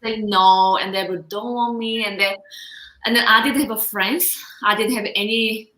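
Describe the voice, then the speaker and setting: A woman in her thirties from South Korea, speaking English with a Korean accent, telling her story as a guest coach with lively, halting phrasing. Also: mid-range voice, reverberant, low-quality recording. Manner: expressive, laughs often, halting non-native phrasing. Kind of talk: casual interview storytelling.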